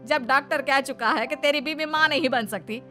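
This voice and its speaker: A woman's voice: high voice, normal speed, bright, forceful tone, faint music behind. A woman voicing a domineering older mother-in-law in a cartoon story, with a scolding, insistent and commanding manner. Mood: angry, scolding. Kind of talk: Hindi cartoon story voice acting.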